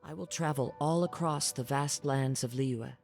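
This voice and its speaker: A man playing a serene, courteous young wandering samurai in an anime-style game, speaking calmly in formal, measured phrases. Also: medium pitch, vocal fry, faint music behind, lightly acted. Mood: calm, earnest.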